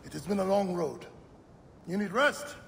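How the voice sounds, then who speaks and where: An actor playing a warm, teasing village friend of a warrior in a video game, speaking expressively and familiarly. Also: male voice, sits high, lively intonation, normal speed, creaky, a little hiss or hum, full character voice. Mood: warm, relieved. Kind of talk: video game cutscene acting.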